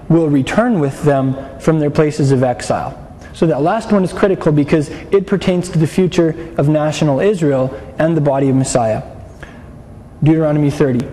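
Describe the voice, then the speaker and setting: A man with a slightly raised yet mellow voice teaches a class, explaining patiently and putting questions to his listeners. His voice carries the long echo of a hall, over a faint hum of room noise. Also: on the high side. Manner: explanatory, asks the class questions, frequent restarts. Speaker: Bible teacher.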